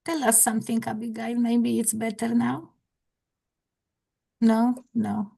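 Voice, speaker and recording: A woman's voice: fairly high pitch, little pitch movement, slow, creaky, dark tone, slightly rough. A woman hosting an online English class over a video call, friendly and encouraging, with some hesitant fillers.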